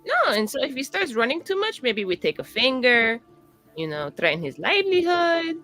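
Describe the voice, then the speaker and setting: Lively high-voiced woman at a game table. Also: moderate pace, faint music behind. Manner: rambling, playful, acts out little exchanges. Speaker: player in a tabletop roleplaying game. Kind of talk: casual in-character and table chat.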